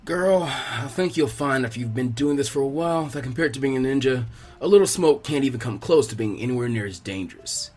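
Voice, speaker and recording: A man's voice: medium pitch, lively intonation, fairly quick, darkish tone, voice pushed down, lightly acted. A man voicing a laid-back, wryly amused bearded ninja instructor in a read-aloud story. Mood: calm, instructing.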